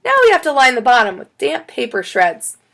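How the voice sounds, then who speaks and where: A woman playing a calm, instructive female elementary school teacher in a children's audiobook. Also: high-pitched, brisk, vocal fry, voice pushed up, full character voice.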